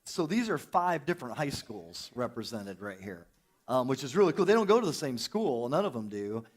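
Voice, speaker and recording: A man's voice: medium pitch, very animated, normal speed. A man hosting a youth panel in an informal, encouraging way. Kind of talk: casual panel moderating.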